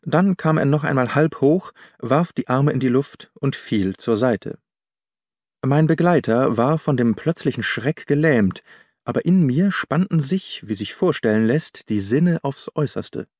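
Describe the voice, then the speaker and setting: Measured male audiobook narrator, dry studio sound. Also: medium pitch, animated, darkish tone, no echo. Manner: measured, formal literary storytelling.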